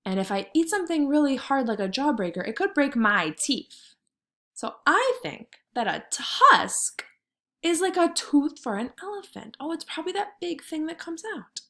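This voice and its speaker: A woman with a fairly high, very lively voice leads a read-aloud lesson for small children. She speaks as a kindergarten teacher, explaining simply and thinking out loud. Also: moderate pace.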